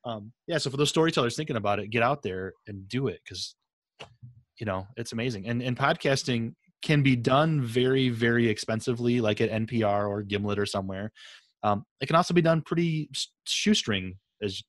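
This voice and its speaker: A man's voice: medium pitch, brisk, dry recording. A man hosting a podcast interview in a casual, informal and enthusiastic way. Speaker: podcast host. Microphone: USB desktop microphone.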